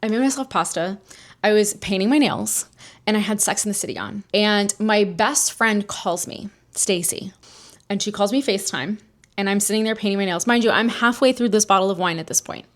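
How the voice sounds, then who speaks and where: A woman's voice: mid-range voice, a little fast. An American woman from New Jersey telling a personal story as a solo podcast host, in a chatty, candid, casual way with some swearing. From New Jersey, United States.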